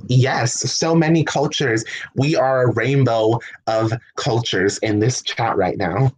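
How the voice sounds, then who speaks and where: A man from the United States chats through a home livestream, reading out and answering viewer questions. His voice sits at an ordinary middle pitch. He talks loosely, often laughing and restarting his sentences as he goes. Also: slow, poor audio. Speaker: livestream host.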